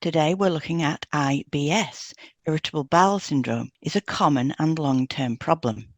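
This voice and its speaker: A woman's voice: low voice, moderate pace, dry recording. A woman reads as co-host of an educational podcast, with a very dry, close sound. Her delivery is informative and scripted, spelling out terms carefully.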